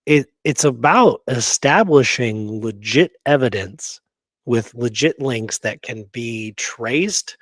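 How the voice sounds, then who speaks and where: A man's voice: medium pitch, animated, takes it slowly, fairly bright tone, no echo. A man co-hosting a casual discussion, speaking emphatically and often checking in with short rhetorical questions. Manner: emphatic, rhetorical check-ins like "right?" and "okay?". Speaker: podcast co-host.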